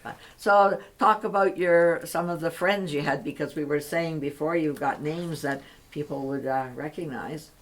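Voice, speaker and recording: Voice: mid-range voice, moderate pace, few pauses, fairly bright tone. An interviewer prompting an older guest with questions and short acknowledgements. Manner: brief encouraging prompts. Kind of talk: oral history interview.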